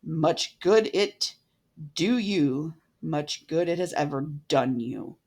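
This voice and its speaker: A woman voicing a miserly, bitter old man in a storybook reading, with gruff, scornful retorts. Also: low-pitched, animated, slow, darkish tone, heavily acted. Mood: indignant.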